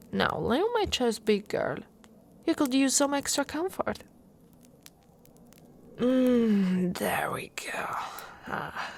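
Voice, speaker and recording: A woman playing a big, tough but tender orc wife in an intimate, soft ASMR roleplay. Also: on the high side, heavily acted. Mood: playful, teasing, then soothing, loving. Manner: soft, slow, intimate, often breathy with soft chuckles.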